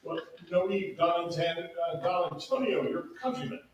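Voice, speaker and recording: A high-voiced man playing a genial duke, formal and a little halting. He is heard from a distance in a very echoey room, as at a staged reading. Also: animated, a little hiss or hum.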